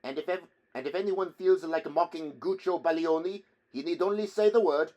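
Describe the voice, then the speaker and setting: A man voicing a cocky, indignant young Italian man in a storybook reading, loud and declamatory. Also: on the high side, normal speed, pitched above the performer's own voice, lightly acted. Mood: proud, defiant.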